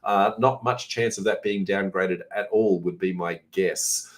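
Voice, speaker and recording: Hoarse raspy Australian man. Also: low voice, fairly even intonation, unhurried. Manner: chatty, many "uh" fillers, self-correcting. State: hoarse, coughing. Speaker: host answering viewer questions. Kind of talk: informal Q&A session.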